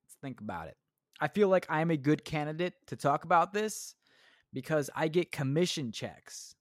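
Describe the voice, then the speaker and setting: A man's voice: fairly high pitch, animated, moderate pace, no room sound, studio quality. A young man hosting his own podcast, rambling crudely and mocking himself, swearing often. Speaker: podcast host. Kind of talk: solo comedic podcast rant.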